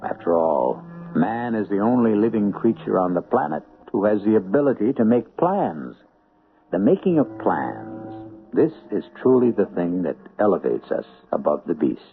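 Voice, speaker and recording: Gravelly male radio drama host. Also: on the low side, big pitch swings. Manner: measured, wry, reflective. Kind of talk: scripted narration. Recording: tinny old radio broadcast with music.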